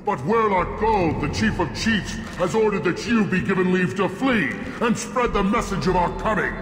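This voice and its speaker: An actor playing a boastful, bloodthirsty alien clan warrior in a video game, declaiming grandiose threats. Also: male voice, high voice, lively intonation, moderate pace, a bit bright, slight background noise. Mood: menacing. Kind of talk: video game villain acting. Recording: echoey hall reverb, faint music.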